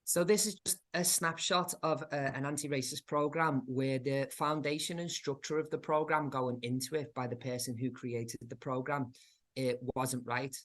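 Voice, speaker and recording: Halting Liverpudlian man presenting online. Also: mid-range voice, fairly even intonation, a bit bright. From England. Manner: thoughtful, halting, many ums. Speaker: community arts director, speaker. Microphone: video call.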